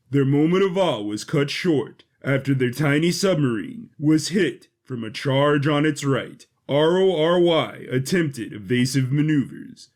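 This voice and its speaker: A man's voice: medium pitch, a little mellow. A man narrating a scripted adventure story in the third person.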